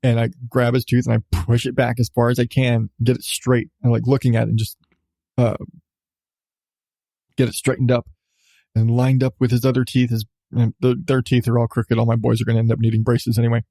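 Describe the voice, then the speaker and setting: A man's voice: medium pitch, completely flat delivery, a little fast, creaky, dark tone, no room sound. A man in his thirties, a podcast host telling a personal story casually, with swearing and emphatic repetition. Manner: profane, emphatic, repeats phrases for effect.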